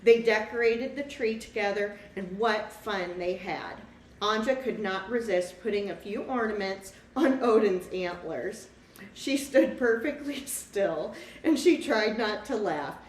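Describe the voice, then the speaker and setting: A woman reading a picture book aloud to young children, pausing to explain things simply and ask them questions. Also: medium pitch. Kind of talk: storybook read-aloud with asides to young children. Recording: echoey room, distant mic, faint noise.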